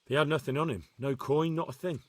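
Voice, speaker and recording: An actor playing a wary, stubborn undercover bounty hunter in a game cutscene, with a husky voice and blunt, terse delivery. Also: male voice, on the high side, fast talker, no room sound. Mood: irritated, defensive. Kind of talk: dramatic game cutscene acting.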